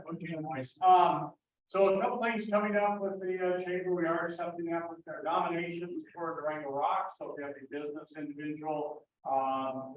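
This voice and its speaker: Guy projecting announcements in a big echoey room, no mic, far off, kinda high voice. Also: slight background noise. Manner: loud projected coaching voice, no microphone. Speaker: chamber of commerce representative. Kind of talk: meeting announcements. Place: meeting room. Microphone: none, room pickup. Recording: very roomy, from far off, faint background ambience.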